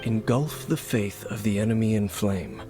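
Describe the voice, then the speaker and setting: A grave scholar played by a man explains ancient secrets in a calm, measured, low voice. He speaks steadily and without hurry. Game music plays clearly behind him. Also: lightly acted. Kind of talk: game voice acting.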